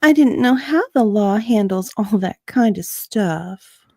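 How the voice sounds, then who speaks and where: A woman's voice: medium pitch, animated, a little slow, darkish tone, dry recording, studio quality. A woman playing an unsure, hesitant witness in an amateur dramatic reading of a deposition, drawing out her answers. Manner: hesitant, drawn out, uncertain.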